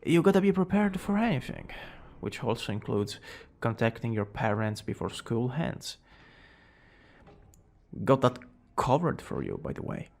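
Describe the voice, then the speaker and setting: Guy doing a smug teasing young villain for asmr romance, slow n drawly, bit of gravel in the voice. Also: mid-range voice, very animated, a little mellow. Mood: boastful, playful.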